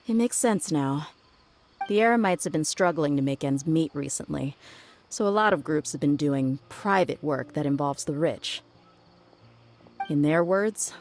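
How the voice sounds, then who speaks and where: A woman's voice: low voice, darkish tone, slight background noise. A woman playing a tough, streetwise young mercenary woman in an anime game, blunt and casual. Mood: knowing. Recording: faint music behind the voice.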